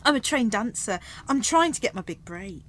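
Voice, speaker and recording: A woman's voice: on the high side, sing-song, normal speed. A woman playing a deluded, eager young airport check-in clerk in a radio comedy. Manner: eager, self-assured, theatrical.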